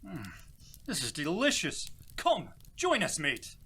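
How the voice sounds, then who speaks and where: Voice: mid-range voice, wildly swooping pitch, slow, background noise, full character voice. An actor playing a brave, overconfident male sea captain in a theatrical audio drama, declaiming heroically. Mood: contented. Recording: prominent music behind voice.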